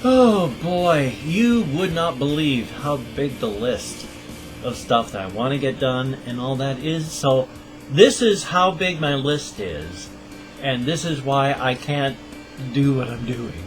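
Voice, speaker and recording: A man's voice: medium pitch, slow pace. A middle-aged man hosting his own channel, chatting casually to camera in a rambling, self-deprecating way. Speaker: channel host. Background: guitar music.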